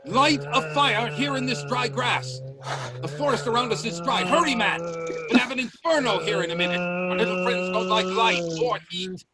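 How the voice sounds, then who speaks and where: A man's voice: high-pitched, lively intonation, normal speed, over loud music. A man acting a bold, urgent professor and inventor in a radio play, with a commanding, emphatic delivery. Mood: urgent, alarmed.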